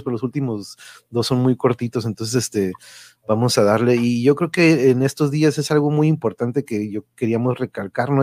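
A man's voice: mid-range voice, darkish tone, dry recording. A man with a Mexican accent hosting a casual chat in an informal, rambling way, often checking for agreement. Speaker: host.

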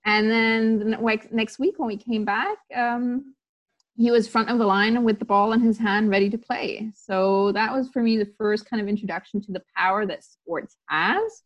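A woman's voice: fairly high pitch, normal speed, dull audio. A woman who grew up in Tanzania, a sports psychologist guest talking casually in an interview, rambling with many fillers and restarts.

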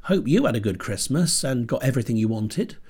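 A man's voice: mid-range voice, animated, a little fast, a little mellow, husky. A British man hosting a horror film podcast with dry, self-deprecating wit, sounding stuffy from a cold. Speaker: podcast host. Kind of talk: scripted podcast monologue. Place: home computer desk.

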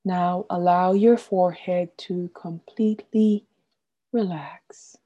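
A woman guiding a relaxation meditation as an instructor, gently and soothingly, with deliberate instructions and softly trailing phrase endings. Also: lowish voice, steady tone, lo-fi sound.

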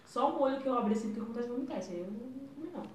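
A young woman, co-hosting a casual chat podcast, blunt and quick to swear. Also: high-pitched, lively intonation, a little mellow, distant mic, a little hiss or hum. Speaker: podcast co-host. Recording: roomy, mic set back, faint ambience.

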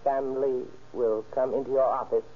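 Husky, halting businessman, played by a man, old radio. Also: mid-range voice, steady tone, lightly acted. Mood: guarded, businesslike. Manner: halting, clipped non-native phrasing with polite hesitations. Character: polite, guarded Chinese businessman running shady letters. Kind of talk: old-time radio crime drama acting. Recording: muffled, lo-fi old radio recording.

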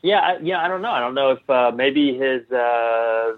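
A man speaking as a guest in a casual interview, in a halting, tentative way with trailing sentences and restarts, over a call. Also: medium pitch, dry recording. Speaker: interview guest, clothing designer. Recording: tinny phone-like line.

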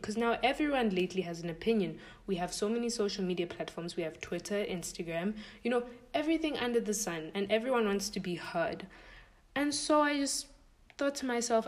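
A woman's voice: medium pitch, a little mellow. A young woman hosting a podcast, talking casually and thinking aloud in a string of questions. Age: young adult. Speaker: podcast host.

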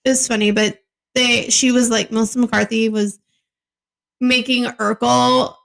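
High, gravelly-voiced woman co-host. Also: little pitch movement, unhurried. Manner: chatty storyteller, mimics others in quotes. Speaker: podcast co-host. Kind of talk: casual chat.

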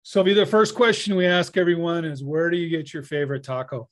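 A man's voice: a little high, steady tone, brisk. A man hosting a podcast, introducing a guest and asking friendly, jokey questions. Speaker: podcast host. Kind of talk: podcast interview.

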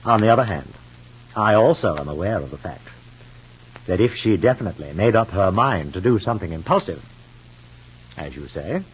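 An actor playing a guarded, bitter husband in an old radio soap opera, speaking in a measured, formal way. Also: male voice, low-pitched, expressive, slight background noise. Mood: coldly resolute. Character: guarded, bitter husband, coolly suspicious of his wife. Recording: muffled, lo-fi old radio recording.

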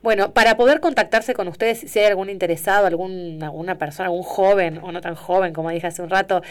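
A woman hosting a radio show, chatting with a guest and passing on listener greetings in an easy, unhurried way. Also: on the low side, clear, resonant tone. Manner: friendly, unhurried, asks open questions. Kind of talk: casual radio chat and announcements. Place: radio studio.

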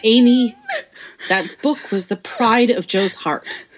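A woman acting a patient, loving but firm middle-aged mother in an audio play, measured and gently admonishing. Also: on the high side. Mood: grave, reproving.

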